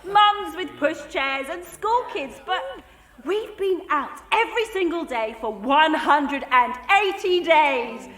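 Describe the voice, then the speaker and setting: Defiant campaigner, high voice, slow rousing delivery, roomy venue, music behind. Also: female voice, lively intonation, fairly bright tone, heavily acted. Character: rousing, defiant woman campaigner addressing friends. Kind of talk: speech in an audio drama.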